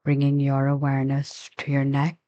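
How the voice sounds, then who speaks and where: A woman leading a guided meditation as a teacher, giving gentle, unhurried instructions with long pauses. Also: extremely low pitch, completely flat delivery, lo-fi sound.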